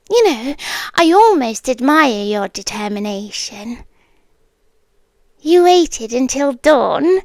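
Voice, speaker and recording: British girl doing a possessive monster queen for asmr, high soft voice, really slow, whispery and quietly menacing. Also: no echo, full character voice. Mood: coolly amused, controlling. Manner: hushed, deliberate, often whispering, regal. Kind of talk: ASMR roleplay, intimate scripted monologue.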